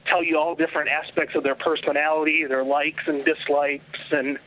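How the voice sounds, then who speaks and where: Higher-voiced caller from Philadelphia. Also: male voice, level tone, normal speed, muffled recording. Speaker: first-time caller. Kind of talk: phone call-in.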